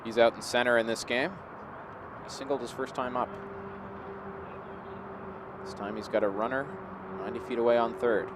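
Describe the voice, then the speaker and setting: A man's voice: mid-range voice, steady tone, normal speed, long pauses. A man giving live play-by-play baseball commentary as the game unfolds. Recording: clear outdoor background noise.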